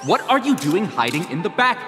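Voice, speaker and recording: A man's voice: sits high, sing-song, a little fast, music in the background. A man voicing a gleeful, callous TV director in a game, theatrical and bombastic. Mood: gleeful, commanding. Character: gleeful, callous showy male TV show director. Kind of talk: dramatic game voice acting. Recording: big echoey reverberant space.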